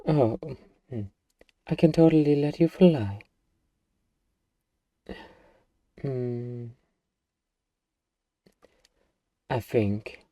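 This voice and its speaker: A man's voice: medium pitch, takes it slowly, warm tone, no room sound, recorded from a distance, natural voice. A man playing a small, eager demon in a comic ASMR roleplay, boastful and self-important yet easily flustered and hesitant. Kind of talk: ASMR fantasy comedy roleplay addressed to listener.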